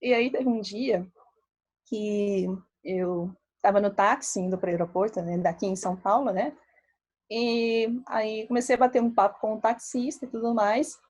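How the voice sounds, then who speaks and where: A woman in her thirties from Belo Horizonte with a mixed Minas and Rio accent, a statistician giving a relaxed, chatty talk with many fillers. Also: medium pitch, moderate pace, low-quality recording. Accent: Brazilian Portuguese, mixed Minas Gerais and Rio. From Belo Horizonte, Brazil. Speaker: statistician presenting to a meetup. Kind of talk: casual talk.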